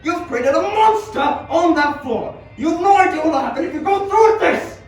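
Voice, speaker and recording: A man acts out a horrified, moralizing professor in an amateur radio drama. His very high voice is melodramatic and distant, swimming in echo with music faintly behind. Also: expressive, normal speed. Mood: grave warning. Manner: melodramatic, preachy. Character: alarmed, moralizing male professor, horrified by a monster. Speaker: amateur student performer. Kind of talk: amateur radio play acting.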